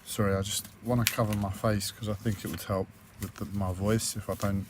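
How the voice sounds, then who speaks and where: A hoarse man records a personal video diary on a tablet, his voice low and flat. He talks hesitantly, full of fillers and restarts. A faint background noise sits under the recording. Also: fairly quick. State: croaky, hoarse voice. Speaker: vlogger.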